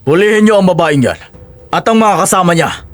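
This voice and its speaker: A man's voice: sits high, expressive, fairly quick. A man playing an authoritative constabulary officer in an audio drama, commanding and formal.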